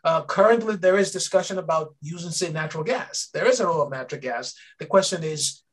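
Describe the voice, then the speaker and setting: A middle-aged man from Liberia, speaking in a measured way on a video call from a home office as interviewer. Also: fairly high pitch, expressive, husky. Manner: measured, repeats words when starting a point. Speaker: interviewer and energy advisor.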